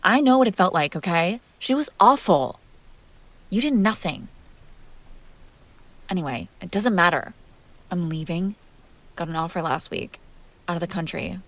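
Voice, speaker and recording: A woman's voice: lowish voice, animated, a bit bright, no echo, heavily acted. Woman acting jealous, wounded young woman, dry close sound. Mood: defiant, cool. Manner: sighing, halting, sarcastic, emotionally raw. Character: jealous, hurt young woman confronting her longtime crush. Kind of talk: intimate audio roleplay acting.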